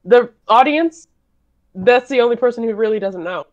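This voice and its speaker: A woman's voice: fairly high pitch, expressive, normal speed, highs cut off. A woman chiming in on a casual panel chat. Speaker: podcast panelist.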